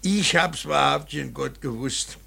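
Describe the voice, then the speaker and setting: A man acting in a radio drama as an earnest, preachy older painter and father, emphatic, repetitive and halting. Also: a little high, sharp tone, exaggerated voice. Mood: reverent, moved. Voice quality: gravelly, husky. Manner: emphatic, repeating words, halting.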